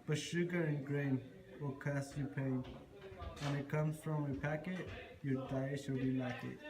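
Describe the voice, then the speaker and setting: A man's voice: medium pitch, fairly even intonation, a little slow, a crowd in the background, natural voice. A man playing a wily, freeloading traveler who speaks in rhyme in an amateur puppet show. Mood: self-assured. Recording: roomy live show with audience noise.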